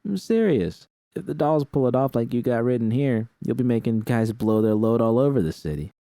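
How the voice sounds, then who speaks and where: A man's voice: mid-range voice, animated, moderate pace, dark tone, no room sound, pitched above the performer's own voice, close to an everyday voice. A man voicing a brash, streetwise young woman with a blunt, slangy, nagging way of talking in an audio story. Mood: teasing, earnest. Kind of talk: character dialogue in audio fiction.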